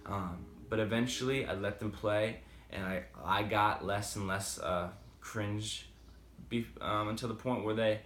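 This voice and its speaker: A man's voice: on the low side, a little slow, fairly bright tone, echoey room, a little hiss or hum. A man with a slight Boston accent talking casually and rambling with many fillers in a motivational vlog. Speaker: vlogger. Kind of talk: casual motivational talk to camera.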